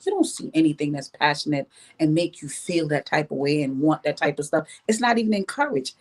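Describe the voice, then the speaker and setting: A woman from Jamaica talks through her views in a podcast conversation. Her voice sits slightly low for a woman, and she leans on key words, repeating them for emphasis. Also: fairly quick, a little mellow. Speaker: podcast guest. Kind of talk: opinionated podcast discussion.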